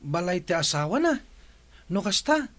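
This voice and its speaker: A man's voice: sits high, big pitch swings, moderate pace, fairly bright tone. A young man acting a friendly, caring student in a radio drama, eager and polite.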